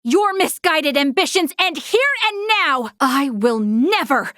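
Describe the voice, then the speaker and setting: Dutiful young woman officer from a sci-fi game, highish voice, formal n earnest, very dry close sound. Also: lively intonation, unhurried, fairly bright tone, no echo. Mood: indignant, defiant. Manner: formal, earnest, crisply articulate. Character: principled young female military officer, dutiful and stern. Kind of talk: video game character voice acting.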